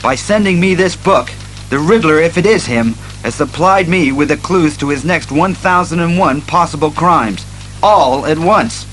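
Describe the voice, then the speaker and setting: An actor playing a serious, commanding masked detective hero, earnest and deliberate, in an audio drama. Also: male voice, fairly high pitch, lively intonation, a bit bright, faint music behind, low-quality recording. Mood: grave.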